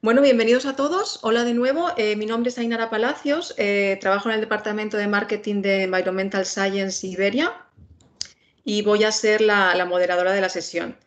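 A woman's voice: medium pitch, fairly even intonation, brisk. A woman with a Spain Spanish accent moderating an online webinar in a friendly manner, with many filler sounds. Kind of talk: webinar introduction.

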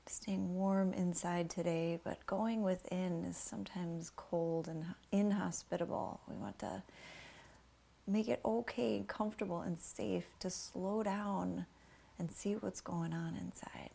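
A woman instructor guiding a slow breathing meditation, often dropping to a soft whisper as she coaches. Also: lowish voice, fairly even intonation, creaky, husky.

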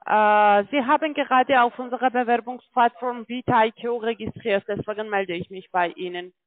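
A woman's voice: fairly high pitch, normal speed, no room sound. Foreign-accented woman on a tinny phone line. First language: not German. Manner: hesitant, often checking with "ja?". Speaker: call-centre sales agent. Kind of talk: telephone sales pitch. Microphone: telephone.

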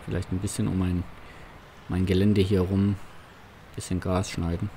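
A man's voice: deep voice, little pitch movement, moderate pace, dark tone, a little hiss or hum. A man roleplaying a farmer in a farming game, talking casually and doing business over the phone. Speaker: farm sim roleplay player. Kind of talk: roleplay gameplay commentary.

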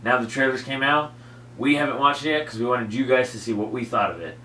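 A man's voice: mid-range voice, fast, pushed and bright. A young man hosting a comedy web show, upbeat and leading the group with frequent laughs, recorded in an indoor studio. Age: young adult. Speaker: show host. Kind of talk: comedy sketch banter. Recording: echoey room, faint noise.

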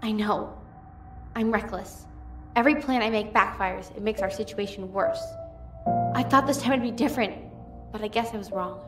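A woman's voice: mid-range voice, normal speed, pauses often, natural voice. A woman playing a reckless but self-doubting crew leader in an audio drama, speaking earnestly. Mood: dejected. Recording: echoey room, music behind.

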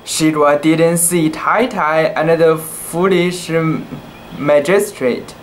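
A young man reading a children's book aloud in a casual, halting way, with cooing asides about the pictures. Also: a little high, steady tone, slight background noise. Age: young adult.

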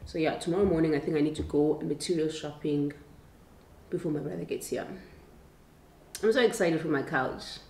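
A woman's voice: low-pitched, normal speed, pauses often, darkish tone. A young South African woman chatting casually to the camera as a lifestyle vlogger at home. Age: young adult. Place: indoors at home, kitchen and bedroom. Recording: roomy echo, clear background noise.

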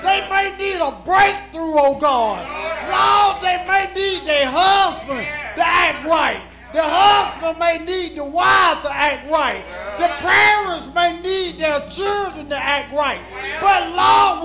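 A man leading a fervent church prayer, impassioned and often shouting, dropping "oh God" and "Lord" between phrases. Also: very high voice, slow, a crowd in the background. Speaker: prayer leader. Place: church service. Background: music playing.

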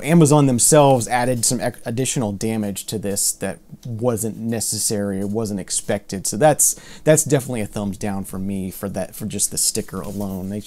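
A man in his thirties casually reviewing vinyl records to camera in a room lined with record shelves, talking in a loose, rambling way with lots of fillers and restarts. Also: on the low side. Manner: relaxed, rambling, many fillers and restarts, mildly exasperated. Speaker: vinyl collector vlogger.

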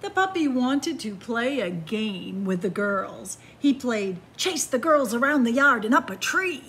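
A woman reading a picture book aloud to children in a library, with slow, expressive storytelling, hushed whispers and excited rises. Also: fairly high pitch, a little hiss or hum. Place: library in front of bookshelves. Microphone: camera facing the book.

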